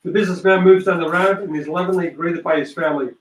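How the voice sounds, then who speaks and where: A man's voice: high-pitched, steady tone, quick pace. A man narrating a script aloud, reading out stage directions. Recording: echoey room, distant mic.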